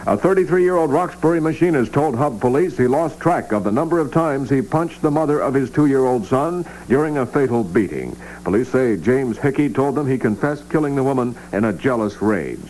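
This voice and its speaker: A man's voice: mid-range voice, animated, moderate pace, few pauses, a little mellow, low-quality recording. A man reading scripted news as a radio newscaster on a 1960s AM broadcast. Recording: vintage AM radio, faint hum.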